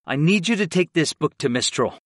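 Male fantasy audiobook hero, acted by a narrator: commanding, blunt, medium pitch, close dry sound. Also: lively intonation, fairly quick, no room sound, close to an everyday voice. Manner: commanding, blunt, matter-of-fact.

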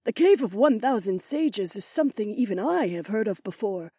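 A woman's voice: medium pitch, animated, moderate pace, warm tone, breathy edge, no echo, studio quality. A woman playing a stern, imperious queen and mother questioning her court in an audiobook drama. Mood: measured approval.

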